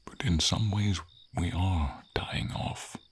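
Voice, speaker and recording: A man playing a gentle, noble, melancholy silver dragon in an ASMR fantasy roleplay, speaking tenderly and formally in a breathy, gravelly voice. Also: steady tone, heavily acted. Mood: reflective, sombre. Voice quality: husky, gravelly, breathy low voice. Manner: slow, tender, formal, hesitant phrasing.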